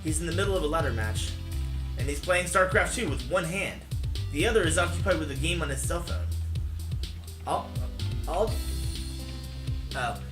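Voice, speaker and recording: A man's voice: on the high side, animated, normal speed, pauses often. A man hosting a let's play, reading a visual novel aloud with casual commentary. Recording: roomy echo, game music behind.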